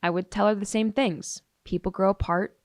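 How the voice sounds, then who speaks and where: A woman's voice: mid-range voice, fairly even intonation, normal speed, no room sound, studio quality, close to an everyday voice. A woman acting a blunt, dismissive, jaded teenage girl testifying in an audio drama. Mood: fed up. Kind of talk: audio drama monologue, police interview testimony.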